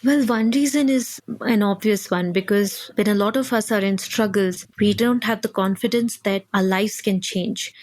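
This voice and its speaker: A woman from India, a researcher and activist, giving thoughtful, measured interview answers that build points step by step. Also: mid-range voice, a little mellow, no room sound.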